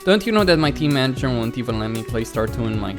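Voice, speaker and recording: A man's voice: mid-range voice, animated, quick pace, music in the background, natural voice. A man voicing an arrogant, condescending rival pro gamer in a visual novel, with a sneering delivery. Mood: smug taunting.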